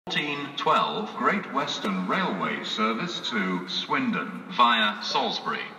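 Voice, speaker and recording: A British man makes formal train announcements, slowly and in separate phrases. His pitch rises and falls widely, and the loudspeaker sound rings with long reverb over clear station noise. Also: very animated. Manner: formal, segmented list reading with varied intonation. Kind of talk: scripted public address train announcement. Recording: reverberant distant station tannoy, noisy.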